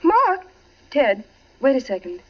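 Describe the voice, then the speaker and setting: An actress playing a poised, evasive suburban wife with hidden unease in a 1940s radio drama, on a dull vintage recording. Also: female voice, sits high, big pitch swings, slow pace, exaggerated voice. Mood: pleading.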